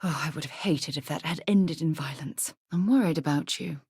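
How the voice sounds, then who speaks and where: A british space explorer lady from a video game, lowish voice, proper and caring, super dry close recording. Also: darkish tone, slightly rough, dry recording, exaggerated voice. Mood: tender, concerned. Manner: articulate, measured, proper. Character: composed, articulate woman space explorer, earnest and caring. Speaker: video game companion character. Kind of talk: acted video game dialogue.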